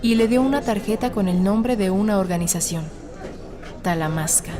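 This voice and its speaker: A woman reading a novel as an audiobook narrator, in a measured, suspenseful storytelling manner. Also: mid-range voice, crowd noise. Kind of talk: scripted dramatic narration of a novel. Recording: studio narration over a music bed. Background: background music.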